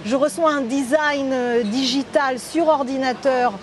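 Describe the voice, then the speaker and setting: A woman dentist, a guest on a talk show, explaining her work with frequent pauses as she searches for words. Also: high voice, steady tone. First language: French. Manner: hesitant, searching for French words. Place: outdoors at a café terrace.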